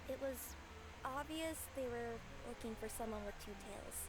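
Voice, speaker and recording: A woman's voice: fairly high pitch, normal speed, natural voice. A woman voicing a cheerful young two-tailed cat yokai courier girl who talks in a sheepish, evasive way. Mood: cheery, sheepish. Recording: game audio with background noise.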